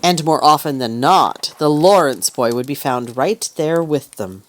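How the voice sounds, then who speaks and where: A woman's voice: low voice, animated, measured pace. A woman narrator reading scripted storybook narration in an audio play.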